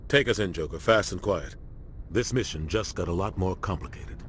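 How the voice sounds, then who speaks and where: A man's voice: deep voice, normal speed, fairly bright tone, slight background noise. A male actor playing a seasoned starship captain giving firm, commanding orders. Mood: grave. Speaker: ship captain. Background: music.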